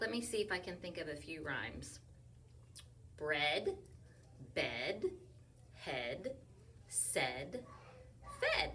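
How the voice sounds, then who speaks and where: A woman teacher talks her class through a picture book in a slow, playful and expressive way, sounding out rhyming words. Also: lowish voice, recorded from a distance, a little hiss or hum. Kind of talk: read-aloud story discussion for young children.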